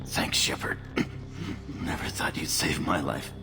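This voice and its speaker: An actor playing a wounded, self-serving lab technician in a video game, gravelly, sardonic and argumentative. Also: male voice, a little slow, close to an everyday voice. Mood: wry, strained. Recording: reverberant hall under loud music.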